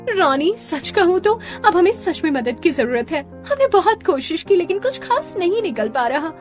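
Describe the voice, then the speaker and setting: Woman voicing a high, proud young sister-in-law. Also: very animated, moderate pace. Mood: remorseful. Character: proud young sister-in-law designer, haughty, later anxious. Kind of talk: melodramatic Hindi cartoon story dialogue. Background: music.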